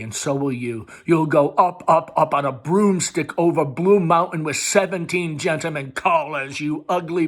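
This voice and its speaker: A man reading the part of an angry, sarcastic young man railing at his mother in a stage play. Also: fairly high pitch. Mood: vicious taunting. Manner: bitter, ranting, mocking. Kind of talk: dramatic read-aloud of a stage play.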